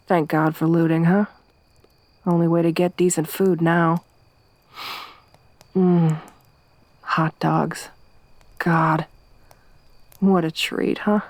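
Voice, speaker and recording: A woman voicing a tough young woman survivor around thirty in an ASMR roleplay, soft-spoken with dry humor. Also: on the low side, no room sound. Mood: wry. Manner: hushed whispering when hiding.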